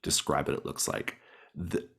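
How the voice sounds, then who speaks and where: A man's voice: moderate pace, a bit bright. A man working as game master, narrating and reading rules conversationally while guiding players with encouraging questions. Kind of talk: narrating a tabletop roleplaying game, part read from rules and part conversational.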